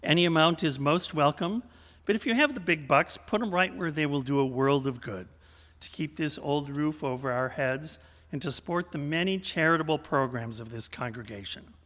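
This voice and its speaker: A man's voice: a little high, normal speed, fairly bright tone. A man hosting a live radio theater show from a church, folksy and tongue-in-cheek, with theatrical emphasis. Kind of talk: live stage hosting, storytelling. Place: large church sanctuary, indoors. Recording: reverberant church sanctuary.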